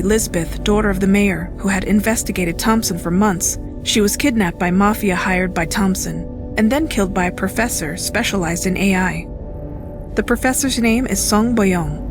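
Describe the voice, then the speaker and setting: A woman playing an earnest, formal television news reporter in a video game, presenting in a measured, disapproving way. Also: mid-range voice, lightly acted. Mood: somber. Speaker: news host. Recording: music bed under voice. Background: loud music.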